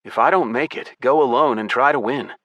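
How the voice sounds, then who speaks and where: A male narrator plays a serious young hero in a fantasy audiobook. He gives instructions firmly and earnestly, in a bright, forceful voice recorded close and dry. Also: mid-range voice, brisk, dry recording, voice pushed up, close to an everyday voice.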